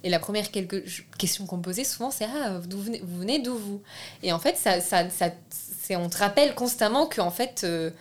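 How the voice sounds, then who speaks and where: A woman's voice: mid-range voice, fairly quick. A young woman from France, a filmmaker being interviewed, talking casually with many hesitations and restarts. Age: young adult. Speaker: filmmaker guest.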